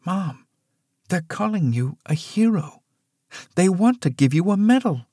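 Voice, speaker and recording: A man's voice: on the high side, very animated, measured pace, a little mellow, breathy edge, no echo, clean studio sound, pitched above the performer's own voice, full character voice. A man voicing a shaken adult daughter who speaks urgently and pleadingly, in a storybook reading.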